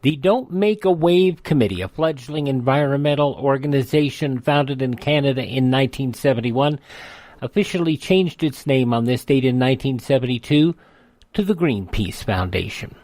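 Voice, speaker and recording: An American man reads scripted narration in the manner of a news segment. His voice sits at a medium pitch, and the sound is dry and close with no room on it. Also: animated, normal speed, darkish tone, no echo. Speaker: radio history segment narrator.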